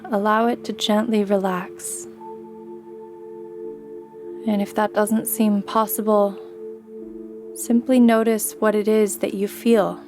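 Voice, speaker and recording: A woman guiding a sleep meditation in a gentle, soothing way, with long pauses between her phrases. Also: medium pitch. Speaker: meditation guide. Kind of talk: guided sleep meditation, scripted narration.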